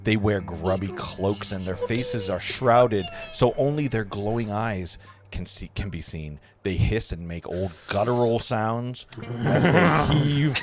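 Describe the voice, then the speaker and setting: Lowish-voiced man narrating, lively intonation. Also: takes it slowly. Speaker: narrator reading stage directions. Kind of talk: scripted narration at an amateur table read. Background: music.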